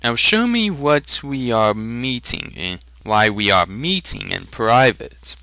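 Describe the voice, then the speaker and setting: Man acting smooth-talking male merchant, courtly, salesman-like, very bright forceful voice, unhurried, fantasy storybook. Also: mid-range voice, lively intonation, no echo, heavily acted. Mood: smooth, probing. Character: smooth male trader, salesman-like, secretive, easily irritated. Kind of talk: fantasy audiobook character reading.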